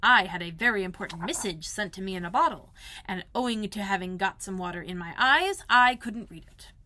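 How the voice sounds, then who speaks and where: A woman voicing a humble, proud, slow-witted male bear in a storybook, with a growly, deliberate delivery. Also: mid-range voice, pushed and bright, slight background noise, close to an everyday voice.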